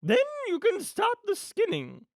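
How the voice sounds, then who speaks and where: A woman's voice: extremely high pitch, huge pitch swings, unhurried, no echo, studio quality, pitched above the performer's own voice, heavily acted. A woman voicing an imperious, gleefully murderous rich villainess from a storybook, with a haughty, theatrical, commanding manner. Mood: gleefully menacing.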